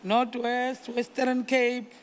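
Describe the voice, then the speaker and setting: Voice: mid-range voice, flat delivery, slow, a little hiss or hum. A South African speaker chairing a meeting, calling on people informally and mixing English with Nguni phrases. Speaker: congress chairperson.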